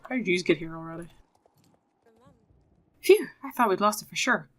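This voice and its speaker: A woman's voice: on the low side, lively intonation, normal speed. A woman giving casual, playful gameplay commentary, often chatting to the characters on screen as she plays. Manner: playful, talks to game characters. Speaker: video game streamer. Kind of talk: casual gameplay commentary and reading out game dialogue. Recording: music behind the voice. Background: game music.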